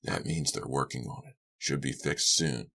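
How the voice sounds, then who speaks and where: An extremely low-voiced, scruffy drunk played by a male narrator from an audiobook. He slurs and drawls his words, crude and taunting, with a leering edge. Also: moderate pace, vocal fry, a bit bright, heavily acted. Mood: taunting, gruff. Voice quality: slurred. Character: drunken, mocking, leering scraggly-bearded man. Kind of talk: audiobook character voice.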